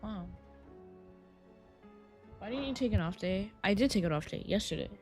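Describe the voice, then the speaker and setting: A woman's voice: medium pitch, normal speed, faint music behind. A woman game streamer chatting casually on a live stream, her voice tired and a little scratchy. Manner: relaxed, rambling, chatty.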